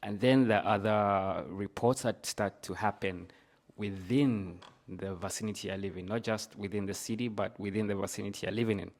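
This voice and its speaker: A man's voice: lowish voice, fairly even intonation, normal speed. A man from Zambia with a Zambian accent, telling his own story conversationally as a podcast guest, with casual filler phrases like "you know".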